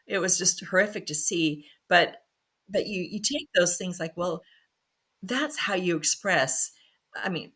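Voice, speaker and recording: A woman's voice: fairly low pitch, sharp tone. A woman author, a former pharmacist, talking casually in an interview with many fillers and restarts and easy laughs.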